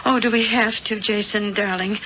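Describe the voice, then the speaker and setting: A woman's voice: medium pitch, fairly even intonation, normal speed, pushed and bright, close to an everyday voice. An actress playing a sweet, fretful elderly aunt who is secretly a witch, fussy and affectionate, in radio drama style. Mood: anxious, pleading. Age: older. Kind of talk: 1970s radio drama acting. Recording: dull noisy old radio recording.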